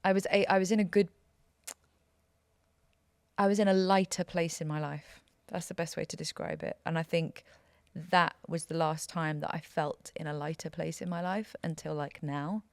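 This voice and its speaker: Lady singer chatting on a podcast, low voice, dry close sound, tells stories with laughs. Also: normal speed, vocal fry, smooth, no echo. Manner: chatty, laughing, animated storytelling. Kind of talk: casual interview chat.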